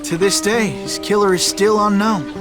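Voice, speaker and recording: An actor playing a polite, curious young frontier admirer. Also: male voice, high voice, normal speed, lightly acted. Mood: earnest. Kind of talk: dramatic dialogue in a Western game. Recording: voice over music.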